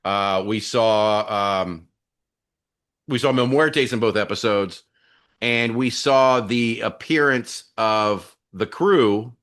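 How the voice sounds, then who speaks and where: A man's voice: fairly low pitch, takes it slowly, a bit bright. A man co-hosting a wrestling podcast in casual, chummy chat with some mild swearing. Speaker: podcast co-host.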